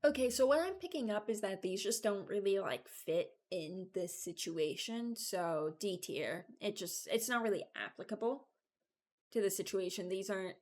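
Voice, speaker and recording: A woman's voice: mid-range voice, normal speed, a little mellow. A woman giving casual, unscripted commentary as a video creator, hesitant and thinking aloud. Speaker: video creator ranking game voice lines.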